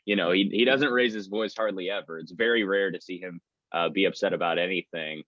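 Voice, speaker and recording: Low-voiced man talking sports, slightly muffled audio. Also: a little fast, fairly bright tone, dry recording. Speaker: sports radio play-by-play announcer, podcast guest. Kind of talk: conversational sports interview.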